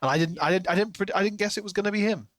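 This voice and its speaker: A man's voice: high voice, very fast, fairly bright tone, no echo. Man chatting casually about books, dry close sound. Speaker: podcast co-host. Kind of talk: casual book discussion.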